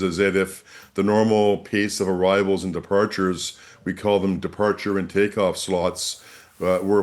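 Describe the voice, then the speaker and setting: A man speaking as an expert guest in a news interview, explaining things with emphatic repetition. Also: deep voice.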